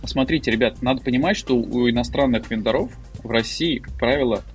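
A man's voice: medium pitch, brisk, faint music behind. A man speaking as a guest expert in a casual panel discussion, talking in a hesitant, colloquial way with many fillers and restarts. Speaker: marketer.

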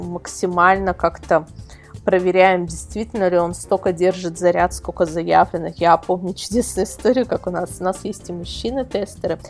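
A woman speaking as an interview guest, explaining things in an informal, rambling way with emphatic repetition. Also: lowish voice. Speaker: guest, website editor and product reviewer.

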